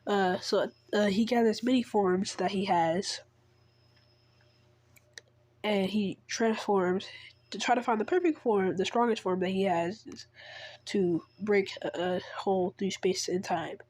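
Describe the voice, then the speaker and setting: A woman's voice: mid-range voice, measured pace, a little mellow, no room sound. A woman who is sick, casually retelling an invented story in a rambling way, with many fillers and restarts. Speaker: fan storyteller.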